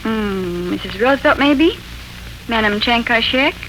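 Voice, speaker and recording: A woman's voice: fairly high pitch, lively intonation, measured pace, a bit bright. A woman acting a tentative, agreeable, musing young woman in a 1940s radio drama. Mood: musing, unsure. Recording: noisy 1940s radio broadcast recording.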